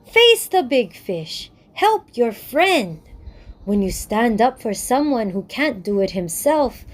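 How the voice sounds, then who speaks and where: A woman's voice: fairly high pitch, big pitch swings, slow, heavily acted. A woman voicing a wise, reassuring elder sea creature, speaking with firm encouragement in a storybook. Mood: firm, encouraging.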